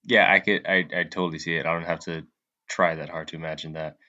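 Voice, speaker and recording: A man with a very low, forceful voice banters as a podcast co-host. His reactions come out dry and halting, and the recording is somewhat dull and lo-fi. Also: fairly even intonation.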